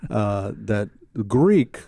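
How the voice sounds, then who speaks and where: A man's voice: on the low side, big pitch swings, very slow, vocal fry, mellow, dry recording. A man, talking casually and reflectively as an interview guest, close on a studio microphone. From Cuba. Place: small indoor studio.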